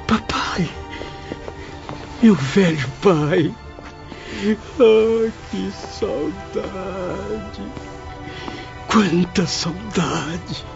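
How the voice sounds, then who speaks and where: Actor as anguished, gravel-free, slow-pleading son. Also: male voice, high voice, full character voice. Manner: emotional, pleading, lamenting. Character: anguished remorseful son reunited with his parents in spirit world. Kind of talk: dramatized audiobook acting. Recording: dull lo-fi audio under clear music.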